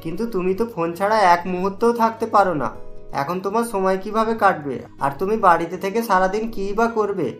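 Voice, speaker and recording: A man's voice: high voice, a little fast, a bit bright, faint music behind. A man voicing a caring, patient young husband and son in a Bengali cartoon, speaking reassuringly. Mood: consoling.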